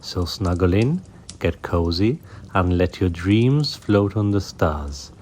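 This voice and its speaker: A man hosting a children's bedtime story show, narrating in a gentle, soothing, unhurried way. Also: sits low, lively intonation.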